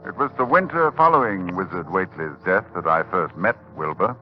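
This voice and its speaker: A muffled vintage radio recording with music behind a learned librarian. His low, animated voice is formal and measured, recounting horror with grave drama. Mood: appalled. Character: learned, horrified university librarian recounting events. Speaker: narrator and lead actor. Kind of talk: 1940s radio horror narration.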